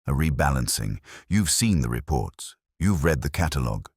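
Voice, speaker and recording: A man's voice: extremely low pitch, very animated, normal speed, darkish tone, breathy edge, dry recording, clean studio sound, heavily acted. A man voicing a composed, grave woman who speaks steadily and solemnly in an audio detective story. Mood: solemn, steady. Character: composed, grave woman making a candid public statement.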